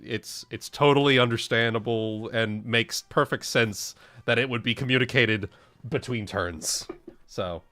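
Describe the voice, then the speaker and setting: A man's voice: mid-range voice, measured pace, bright, forceful tone, a little hiss or hum. A man playing a tabletop game, chatting casually, repeating short phrases and muttering sums aloud.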